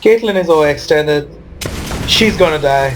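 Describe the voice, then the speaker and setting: A man's voice: fairly high pitch, expressive, normal speed, a little hiss or hum. A man giving informal, wry commentary on his own video game match, voicing his reactions as he goes.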